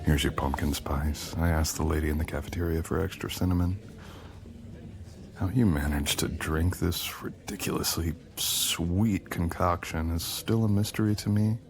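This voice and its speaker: A man's voice: sits low, moderate pace. A man playing a powerful, dangerous shadow being, a smug and possessive boyfriend, in an intimate ASMR audio roleplay with dramatic, teasing delivery.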